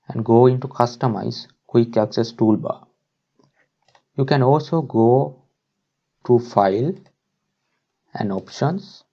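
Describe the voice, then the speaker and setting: Man with dull-sounding, creaky tutorial voice. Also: mid-range voice. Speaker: tutorial presenter. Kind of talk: instructional screen-recording narration.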